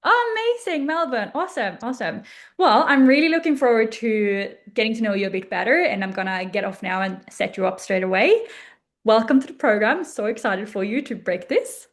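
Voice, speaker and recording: Upbeat woman coach, fairly high voice. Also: lively intonation, a little fast. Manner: upbeat, gushing, sales-pitch encouragement. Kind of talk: live online workshop Q&A. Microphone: video call.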